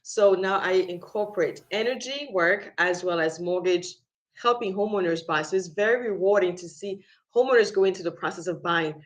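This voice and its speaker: A woman from the French Caribbean speaks as a workshop guest, her voice sitting a bit low. She talks with energy and emphasis, often restarting a phrase to get it right, over a video call. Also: moderate pace. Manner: energetic, self-correcting, emphatic. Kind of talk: self-introduction.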